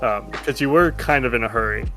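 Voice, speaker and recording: A man's voice: mid-range voice, brisk, bright, forceful tone, faint music behind, rough recording. A man narrating a tabletop game as game master in a casual, improvised, conversational way.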